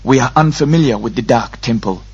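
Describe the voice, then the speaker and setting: A man performs an old sorcerer in a fantasy audiobook, passing as a humble pilgrim. He speaks with husky, guttural formality and careful deference. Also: medium pitch, fairly quick, a little mellow, highs cut off. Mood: humbly deferential. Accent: guttural invented accent.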